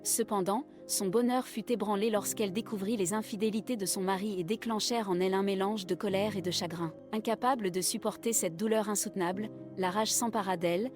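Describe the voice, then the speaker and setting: A woman delivers a scripted narration of a legend. Her reading is measured and solemn. Music plays clearly beneath her voice. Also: mid-range voice, fairly even intonation. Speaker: narrator of a played clip.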